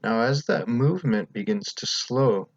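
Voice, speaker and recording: A man leading a guided meditation as the teacher, speaking in a calm, gentle, unhurried way with pauses between instructions. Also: mid-range voice, no room sound, muffled recording.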